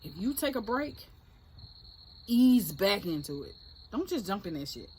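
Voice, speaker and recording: A woman's voice: medium pitch, very animated, a little slow, a little mellow, slight background noise. A woman in her forties, an accountant, casually chatting and agreeing with her longtime friend. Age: 40s. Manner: agreeable, chiming in, laughs. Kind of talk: casual chat.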